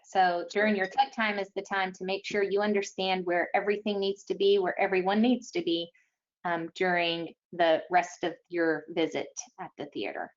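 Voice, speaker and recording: A woman's voice: fairly low pitch, fairly even intonation, moderate pace. Woman explaining rules, slightly dull webinar audio. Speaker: contest official answering questions.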